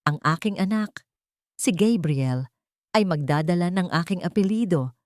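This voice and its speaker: A woman's voice: fairly low pitch, expressive, normal speed, no echo, clean studio sound, close to an everyday voice. A woman voicing a resolute, hopeful young mother in a dramatic story, speaking in firm, solemn declarations. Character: resolute young mother, once victim, now hopeful leader.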